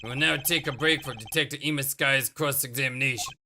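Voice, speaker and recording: Gravelly old judge, as a man voices him. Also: medium pitch, sharp tone, a little hiss or hum. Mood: stern. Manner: formal, measured, deliberate. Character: stern older male judge.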